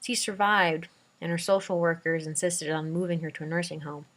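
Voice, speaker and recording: A woman's voice: on the low side, fairly even intonation, a little fast. A woman reading a dramatic first-person story aloud as an amateur narrator.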